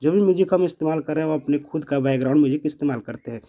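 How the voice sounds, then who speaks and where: Fast-talking man giving an app tutorial. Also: fairly high pitch, dark tone. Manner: explanatory, repeats phrases step by step. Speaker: tutorial presenter. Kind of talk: step-by-step app tutorial voice-over.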